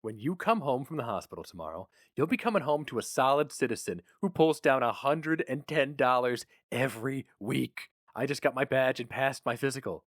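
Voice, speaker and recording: A man's voice: medium pitch, lively intonation, normal speed, few pauses, no echo, studio quality, voice pushed up. A man voicing an eager, stubborn young husband who speaks earnestly and insistently.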